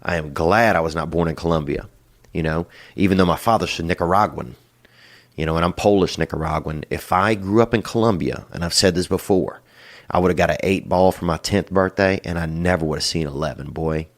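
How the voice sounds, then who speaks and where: Deep-voiced drawling man giving advice. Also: a little fast. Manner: drawling, loose and crude, tosses in "boy" and "you know". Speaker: podcast host giving advice. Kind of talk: casual rambling advice.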